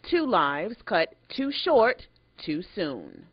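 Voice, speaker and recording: A woman's voice: wildly swooping pitch, extremely slow, breathy edge. A woman working as a TV news reporter, reading scripted news narration. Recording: dull, muffled low-fidelity audio.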